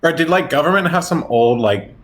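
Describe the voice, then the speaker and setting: A man's voice: a little high, lively intonation, a little fast, fairly bright tone. A man playing a tabletop game, bantering casually with sarcasm and swearing.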